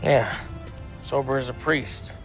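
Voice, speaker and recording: An actor playing a scheming, hot-headed, wisecracking gangster in a crime video game, brash and streetwise in manner. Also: male voice, mid-range voice, flat delivery, slow, gravelly, over music, poor audio. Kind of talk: cinematic crime game acting.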